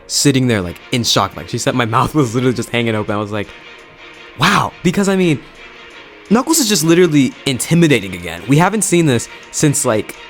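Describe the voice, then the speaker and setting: A man's voice: mid-range voice, very animated, fairly quick, soft background music. A young adult man giving a casual, enthusiastic and emphatic video movie review in slangy language. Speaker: YouTube reviewer.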